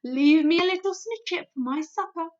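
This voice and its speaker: A woman's voice: very high voice, normal speed, highs cut off, voice pushed up. A woman voicing a big friendly old male giant who coaxes in garbled words, in a storybook reading. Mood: coaxing.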